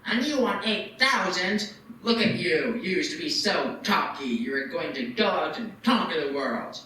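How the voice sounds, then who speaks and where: An actor playing a greedy, scornful old banker in a live radio play, cold and sneering. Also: male voice, fairly high pitch, animated, moderate pace, pushed and bright. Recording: echoey hall, voice from far off, faint noise.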